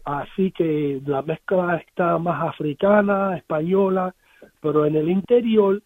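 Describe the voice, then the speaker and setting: American guy with a high-ish voice, english-first speaker stumbling and restarting a lot, tinny phone-line sound. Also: no echo. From United States. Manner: halting, many restarts and repeated words. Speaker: musician guest. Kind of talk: radio interview, casual recollection. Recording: dry, phone-like radio line.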